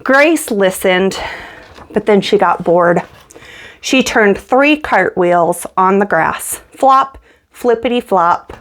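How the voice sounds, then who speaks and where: A woman's voice: medium pitch, expressive, slow, a bit bright. A woman reading a children's picture book aloud as a storyteller, acting out the dialogue as she goes.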